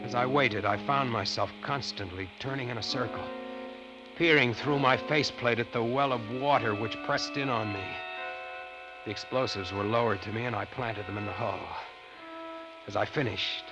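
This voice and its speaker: An actor with an American accent playing a haunted, fearful treasure diver in an old-time radio drama, tense and dramatic. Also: male voice, medium pitch, moderate pace, dull audio, lightly acted. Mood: grim, haunted. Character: haunted, fearful male treasure diver telling his tale. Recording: vintage radio recording with loud music.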